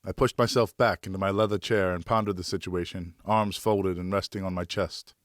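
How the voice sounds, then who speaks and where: Low-pitched male audiobook narrator. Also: fairly even intonation, fairly quick, no echo, clean studio sound. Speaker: audiobook narrator, professional voice actor. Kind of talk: scripted first-person narration of a historical novel.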